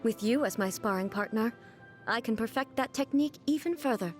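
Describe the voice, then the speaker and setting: A woman voicing a solemn, regal immortal warrior ruler in a video game, speaking in a formal, measured, imperious way. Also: on the high side, soft background music, lightly acted. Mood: calm, instructive. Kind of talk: scripted video game character voice lines.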